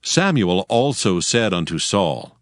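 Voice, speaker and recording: Deep, animated male scripture narrator. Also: slow pace, no echo, studio quality. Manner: formal, declarative storytelling. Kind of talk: solemn dramatized scripture narration. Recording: music behind the voice.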